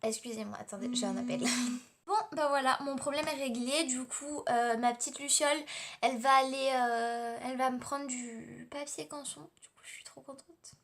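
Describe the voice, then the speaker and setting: A tired, slightly hoarse young woman, rambling casually through a home vlog on her tablet, full of fillers and restarts. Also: high-pitched, fairly even intonation, normal speed, fairly bright tone. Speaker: vlogger. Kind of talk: casual daily vlog chat. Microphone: tablet camera.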